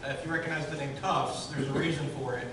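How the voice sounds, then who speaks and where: A man's voice: fairly high pitch, fairly even intonation, quick pace. Chatty man in an echoey hall. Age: 30s. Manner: loud, chatty, many fillers and restarts. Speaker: guest lecturer, historian. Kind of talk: public history lecture. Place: large echoey room, in front of an audience. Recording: very echoey room, distant, audible noise.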